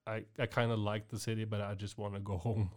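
A man from Norway, hosting a podcast interview in a halting way, with many restarts. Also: on the low side, flat delivery, a little mellow. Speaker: podcast host. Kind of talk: conversational interview.

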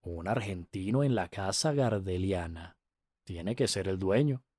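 A man's voice: deep voice, lively intonation, measured pace, mellow, no room sound, clean studio sound. A man voicing a polite, thoughtful male museum visitor in a storybook reading. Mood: musing.

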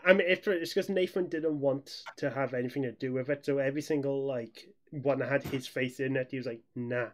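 A young man co-hosting a casual podcast, telling anecdotes in an excitable, rambling, giggly way. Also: medium pitch, brisk, few pauses. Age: young adult. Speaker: podcast co-host.

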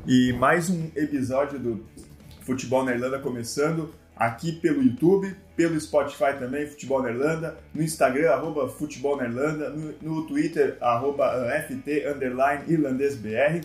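A man's voice: medium pitch, steady tone, normal speed, soft background music. A man from Brazil co-hosting a casual football podcast, talking with enthusiasm and many fillers. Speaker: podcast co-host. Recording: echoey room.

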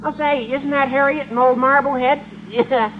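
An actor playing an overeager, earnest American high school boy with a cracking adolescent voice in a radio comedy. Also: steady tone, moderate pace. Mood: earnest, gushing. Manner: gushing, exaggeratedly earnest. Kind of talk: old-time radio situation comedy acting. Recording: tinny vintage radio recording, noise, studio audience.

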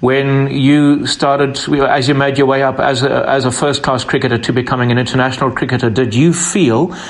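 A man's voice: mid-range voice, flat delivery, moderate pace. Guy hosting an interview, medium voice, chatty leading questions. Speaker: interviewer, host.

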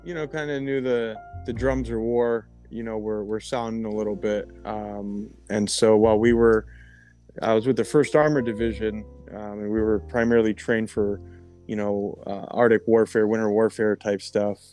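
Low-voiced American man chatting casually. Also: fairly even intonation, normal speed, a little mellow, faint music behind. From upstate New York. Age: about 40. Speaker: interview guest, army veteran. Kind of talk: casual personal storytelling.

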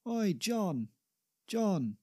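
A man's voice: medium pitch, normal speed, natural voice. A man voicing a vain, impatient playwright, indignant and bossy in manner. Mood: irritated.

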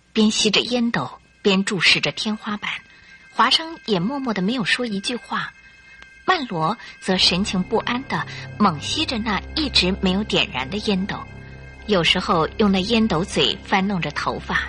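A woman's voice: mid-range voice, pushed and bright. A woman narrating a radio drama in a dramatic, scripted storytelling style.

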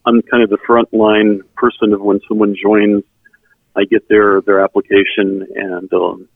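A middle-aged man from South Texas whose first language is German, telling his personal story in an earnest, halting way as an interview guest. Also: fairly low pitch, no room sound. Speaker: interview guest, member services volunteer. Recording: tinny phone-like sound, band-limited.

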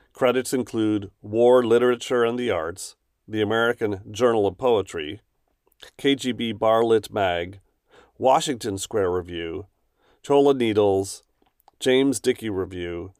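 A man's voice: fairly low pitch, darkish tone, no room sound, studio quality. A man hosting a poetry podcast, reading scripted introductions and verse aloud.

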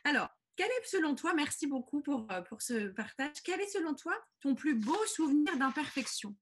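Woman interviewer, fairly high voice. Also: sing-song, moderate pace, slight background noise. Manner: friendly, thanks guest, adds short comments. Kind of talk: casual interview.

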